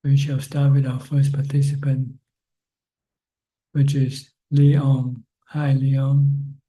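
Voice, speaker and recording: A man hosting a Buddhist discussion meeting, speaking in a calm, measured way over a video call. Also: mid-range voice, low-quality recording. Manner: measured, even delivery. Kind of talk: slow, calm meeting introduction.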